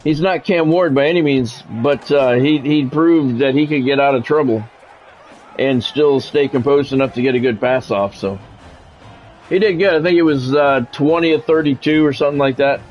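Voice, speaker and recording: A man's voice: mid-range voice, moderate pace, darkish tone, low-quality recording. A man doing casual sports game commentary, drifting between announcer-style play calls and conversational musing.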